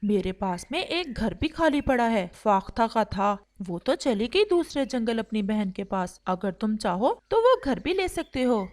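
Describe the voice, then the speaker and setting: A generous male pigeon voiced by a woman in a children's cartoon fable. His voice is somewhat high and very animated, with an obliging, neighbourly manner. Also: measured pace, hardly any pauses. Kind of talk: Hindi cartoon storybook dialogue.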